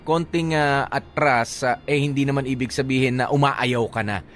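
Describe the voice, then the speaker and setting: A man's voice: mid-range voice, fairly even intonation, moderate pace, a bit bright, slight background noise. A man with a Filipino accent hosting radio, giving casual advice in short, hesitant, reflective phrases. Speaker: radio host.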